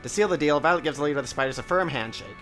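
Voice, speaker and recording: A player reading game text aloud with wry, deadpan asides. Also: mid-range voice, rapid-fire, soft background music. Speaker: let's play narrator.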